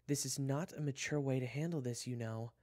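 A man's voice: a little high, animated, brisk, dark tone, voice pushed up, natural voice. A man voicing a sensible, exasperated schoolgirl who chides and protests in a narrated story. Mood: indignant, exasperated. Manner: chiding, protesting.

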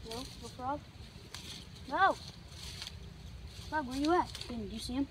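A teenage boy vlogging outdoors in the woods on a handheld phone, chatty and excitable, cursing under his breath as he works. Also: very high voice, measured pace. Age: teens. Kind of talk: casual outdoor vlog. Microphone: handheld phone camera. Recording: loud outdoor noise on phone.

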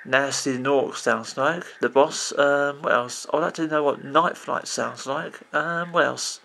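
Croaky man rambling off the cuff. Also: fairly high pitch, steady tone, measured pace, sharp tone. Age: 30s. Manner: rambling, restarts often, many "you know"s. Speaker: video game vlogger. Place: indoors at a computer.

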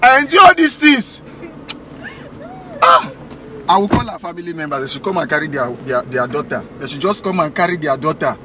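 Actor as an aggrieved husband, high-voiced rant over traffic noise. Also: male voice, big pitch swings, fairly quick. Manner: exasperated, ranting. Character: betrayed husband, furious and wounded.

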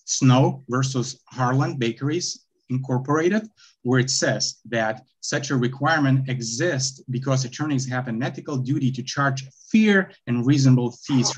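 A man's voice: mid-range voice, husky. Hesitant Lithuanian-accented man on a video call. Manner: halting, many fillers and restarts, reading case citations. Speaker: appellant arguing his own appeal. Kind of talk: remote oral argument before a court. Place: bright indoor room, video call. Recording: dull lo-fi video call audio.

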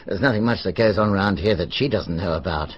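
An actor playing a genial, thoughtful village police superintendent in a radio drama, musing informally. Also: male voice, deep voice, fairly quick, breathy edge. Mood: genial, helpful. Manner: informal, musing, hesitant asides. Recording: dull, muffled radio drama audio.

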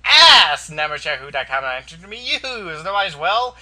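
A young man ranting casually about an online game on his own vlog, loud and profane, with a voice that keeps cracking, recorded on a built-in mic in a small room. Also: big pitch swings, normal speed. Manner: loud, profane, laughing, jumpy pitch. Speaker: gaming vlogger.

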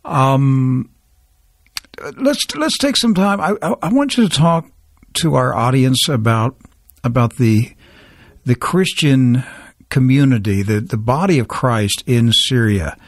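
Earnest talk radio host, dry studio. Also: male voice, medium pitch, expressive, takes it slowly, no echo. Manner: earnest, stumbling restarts when unscripted.

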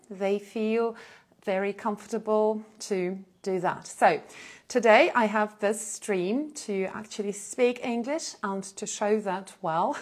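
A woman, hosting a live stream and telling her own story in a casual, animated way, with fillers and short laughs. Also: medium pitch. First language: Russian. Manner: animated, gestures a lot while talking, with frequent fillers and short laughs. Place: indoor room in front of a plain blue backdrop. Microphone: small clip-on lapel mic.

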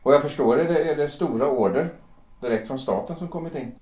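An actor playing an earnest young shipyard worker who speaks politely and deferentially, stumbling over words. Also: male voice, on the high side, mellow, lightly acted. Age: young adult. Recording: roomy echo.

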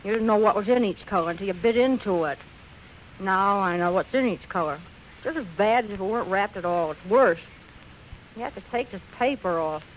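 A woman's voice: mid-range voice, brisk, natural voice. A woman playing a spoiled, bored, complaining rich wife in a 1930s radio drama. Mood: bored, sulky. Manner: whiny, complaining. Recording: muffled old radio recording with noise.

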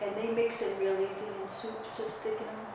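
A woman's voice: mid-range voice, little pitch movement, a little fast. A woman in a class chiming in casually as a participant. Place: large classroom, indoors. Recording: echoey room, distant mic, noisy.

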